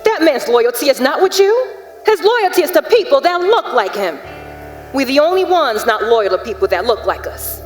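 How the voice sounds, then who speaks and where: Woman acting firm enslaved wife, lively, reverberant stage. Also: sits high, normal speed. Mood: stern, warning. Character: wary, loyal enslaved wife and house servant. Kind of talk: theatrical stage drama acting. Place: large indoor theater stage. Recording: reverberant theater with music underneath.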